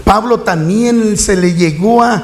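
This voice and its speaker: Male pastor, high voice, middle-aged, preaching to a congregation, rhetorical questions. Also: measured pace, a little mellow. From Mexico. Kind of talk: sermon.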